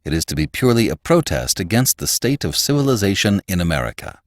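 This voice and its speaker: Man as a mild, low-voiced, stubborn young editor. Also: sing-song, fast talker, no room sound, studio quality. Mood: resolute. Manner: mild, gentle, quietly firm. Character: mild, stubbornly resolute young male magazine sub-editor.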